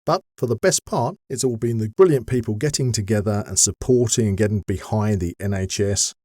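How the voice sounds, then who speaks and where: A man's voice: lowish voice, fairly quick, dark tone, dry recording, studio quality. A man hosting a podcast, giving listeners an update in an upbeat, encouraging, chatty way. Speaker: podcast host.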